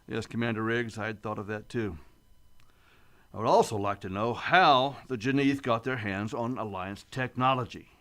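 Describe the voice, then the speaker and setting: A man playing a composed, courteous starship captain in a science fiction radio drama, with a measured, authoritative manner. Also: medium pitch, a bit bright, close to an everyday voice. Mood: composed, gracious.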